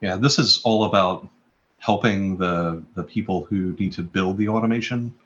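Deep-voiced man on dull lo-fi audio. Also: normal speed, a bit bright. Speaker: guest, chief architect. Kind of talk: conversational interview.

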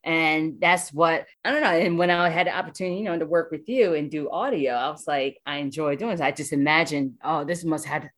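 A woman with an American accent talks casually in an interview. Her voice sits low, and she rambles enthusiastically, circling back over her phrases. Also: fairly quick, few pauses, fairly bright tone. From Washington DC area, United States. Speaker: voice actor, interview guest.

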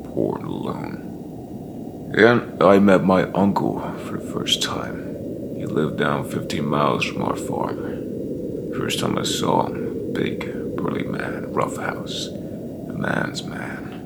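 An actor playing a weary, ageing male bounty hunter in a fantasy audio drama, with a breathy rasp and halting, folksy delivery. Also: a bass voice, huge pitch swings, heavy background noise, heavily acted. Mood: somber, reflective. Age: older. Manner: slow, halting, folksy drawling storytelling with weary pauses. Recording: music behind the voice. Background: loud music.

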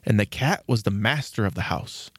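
A man's voice: on the low side, lively intonation, normal speed, no echo, studio quality. A man reading scripted storybook narration as the narrator of a fairy tale.

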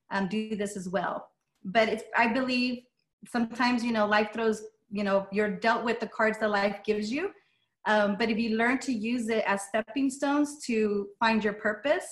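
A woman's voice: mid-range voice, a bit bright. Woman guest speaker, middle-aged, first language Spanish, casual, hesitant storytelling. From Las Vegas, USA. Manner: casual, many ums, light laughs. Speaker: guest speaker, dance studio owner. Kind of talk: personal story talk.

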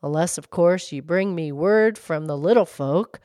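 A big storybook fish, voiced by a woman, dropped well below her narrating pitch. It gurgles along in a measured, cautious and kindly manner, recorded close and dry. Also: warm tone, dry recording, lightly acted. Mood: regretful.

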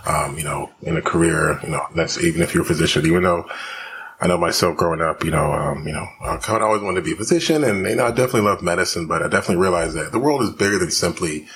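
A man from Houston, Texas, a physician guest talking fast with many fillers in a podcast interview. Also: deep voice, fairly bright tone, slight background noise. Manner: rapid, many fillers and restarts.

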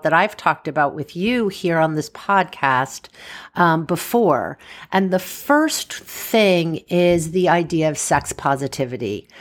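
A woman hosts a solo podcast in a soothing, slightly low voice. She speaks slowly and conversationally, explaining things in an informal, unhurried way. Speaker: doctor hosting a solo podcast.

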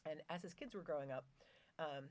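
A woman's voice: low-pitched, brisk, a little mellow. A woman guest on a podcast, telling stories in a chatty way with lots of fillers and wry asides. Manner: chatty storytelling, many fillers, wry humor. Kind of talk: conversational podcast interview. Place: Norway.

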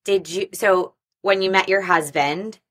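A woman's voice: mid-range voice, fairly bright tone. A woman hosting an interview podcast, upbeat and conversational as she asks questions. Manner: upbeat, rapid scripted intro then conversational questions. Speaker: podcast host.

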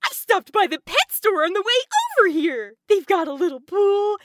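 A woman's voice: extremely high pitch, expressive, moderate pace, a bit bright, slight background noise. A woman voicing a cheerful, helpful, easygoing young knight in a children's audio adventure, with a casual manner. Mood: cheerful, delighted. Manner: chirpy, casual, hesitant when breaking news.

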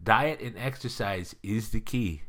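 A man's voice: fairly low pitch, unhurried, fairly bright tone. A man in his fifties, co-hosting a casual chat, joking and rambling with fillers and frequent laughs. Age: 50s. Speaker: podcast co-host.

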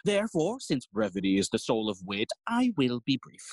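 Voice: mid-range voice, sing-song, normal speed, a little mellow, no room sound, studio quality. An actor playing a fussy, long-winded old male counsellor in an audio play, theatrical and forever interrupting their own speech. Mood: pompous, rambling. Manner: rambling, theatrical, self-interrupting. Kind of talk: Shakespearean stage acting in audio play.

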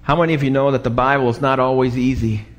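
A male pastor with an American accent and a slightly raspy voice, preaching a sermon and often checking in with short questions to the congregation. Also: medium pitch, fairly even intonation, quick pace. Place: church, preaching live. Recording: live church recording, long hall reverb.

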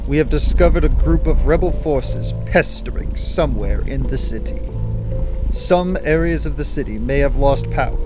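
A man's voice: on the high side, lightly acted. Man playing a pompous, menacing overlord announcer. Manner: formal, measured, pompous. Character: tyrannical male sci-fi overlord, smoothly menacing and formal. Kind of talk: propaganda broadcast announcement, radio drama acting. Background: music.

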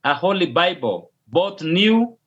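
A Kenyan pastor talks in a slow, deliberate way, often pausing and restarting. The sound is dull and lo-fi. It comes over a video call. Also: male voice, high voice, big pitch swings, a bit bright. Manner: slow, measured, with hesitations and restarts. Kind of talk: casual video call interview.

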